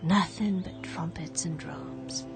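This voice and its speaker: A woman's voice: on the low side, slow, creaky. A woman voicing a grieving woman weakened by fever, wistful and fragile, often sinking into whispers. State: feverish and weak. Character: grieving Southern woman waiting for her husband. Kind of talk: radio drama acting. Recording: radio drama with music behind.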